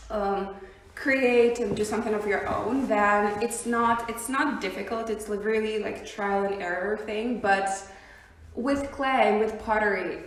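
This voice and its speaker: A young woman from Siberia with a Russian accent, chatting as a podcast guest, hesitant with many ums and frequent laughs. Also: medium pitch. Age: young adult. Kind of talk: casual interview chat. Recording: very echoey room.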